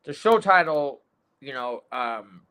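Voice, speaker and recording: A man's voice: medium pitch, animated, takes it slowly, sharp tone, smooth. A man in his forties hosting a casual sports podcast over a video call, rambling and stuffed with ums and uhs. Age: 40s. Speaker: podcast host.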